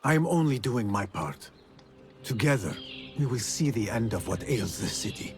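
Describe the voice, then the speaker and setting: An actor playing an earnest, humble and determined young assassin in a video game cutscene. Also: male voice, mid-range voice, lively intonation, normal speed, faint music behind, close to an everyday voice. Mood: calm, resolute.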